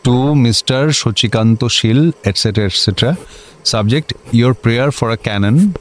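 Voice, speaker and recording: A man's voice: medium pitch, slow, close to an everyday voice. An actor playing a self-important, easily rattled district magistrate in a radio comedy, mixing English into his officious orders. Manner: officious, peppers Bengali with English. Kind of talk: Bengali radio comedy acting. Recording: faint background noise.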